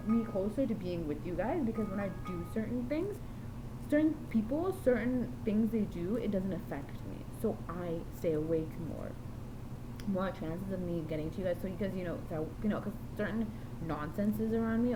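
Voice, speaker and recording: A woman's voice: fairly low pitch, background noise. A woman rambling through a diary-style vlog, meandering and often restarting or correcting herself. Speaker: vlogger.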